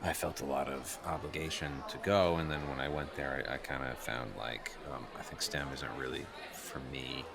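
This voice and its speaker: A man playing a self-doubting teenage transfer student in an improvised comedy, speaking casually in a hesitant, halting, understated way. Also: a bass voice, fairly even intonation, gravelly, over a noisy crowd. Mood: hesitant, awkward. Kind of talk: improvised comedy dialogue, naturalistic casual chat. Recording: noisy room ambience.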